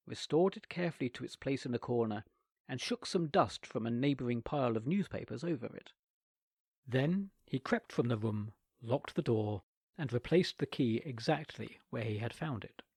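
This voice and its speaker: A man reading classic humorous stories aloud with expressive, theatrical narration. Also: medium pitch, slightly rough, dry recording, studio quality. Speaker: reader. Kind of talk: scripted story narration.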